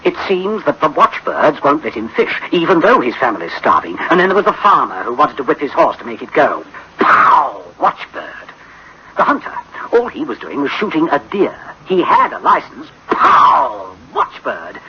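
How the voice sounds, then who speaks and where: A man's voice: on the high side, huge pitch swings, moderate pace, pushed and bright, heavily acted. A man playing a troubled, conscientious chief of a futuristic project in a dramatic, brooding radio drama style. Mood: bitter. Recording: dull, noisy old radio recording.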